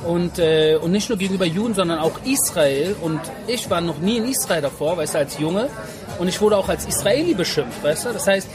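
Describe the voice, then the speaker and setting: A man tells personal stories in a casual interview. His speech is slangy and emphatic, with repeated phrases for stress. Loud music and crowd noise run clearly behind him. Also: sits high, lively intonation, a little fast. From Berlin, Germany. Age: 40s. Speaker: interview guest.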